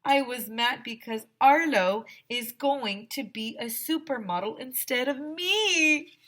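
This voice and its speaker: A woman's voice: sits high, lively intonation, slow, a bit bright, pitched above the performer's own voice, exaggerated voice. A woman voicing a jealous little schoolgirl speaking through put-on tears in a storybook. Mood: tearful, jealous. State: crying, put on.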